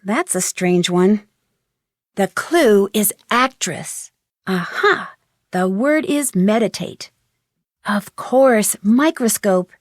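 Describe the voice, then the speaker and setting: Curious girl detective in a game, thinking out loud, highish voice, dry. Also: breathy edge, no echo, exaggerated voice. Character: curious, thoughtful young woman detective. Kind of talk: game voice acting, thinking aloud.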